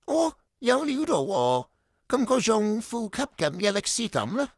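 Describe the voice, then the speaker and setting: A woman's voice: a little high, big pitch swings, a little slow, no room sound, clean studio sound. A woman playing a dramatic, demanding boss with a pompous, theatrical manner in a comedic radio drama. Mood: impressed. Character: dramatic, demanding boss who talks in abstract riddles.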